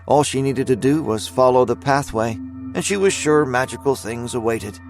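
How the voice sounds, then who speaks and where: A man delivers scripted, dramatic fiction narration in a mid-range voice. His storytelling is measured, and soft music plays faintly behind him. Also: medium pitch.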